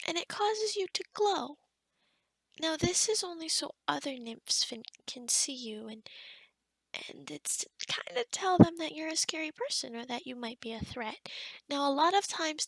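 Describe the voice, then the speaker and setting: A woman's voice: sits high, creaky, fairly bright tone, no room sound, exaggerated voice. A woman playing a kind, flustered young-sounding forest witch in an ASMR roleplay, stammering and often dropping to a whisper. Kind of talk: ASMR roleplay addressed to the listener.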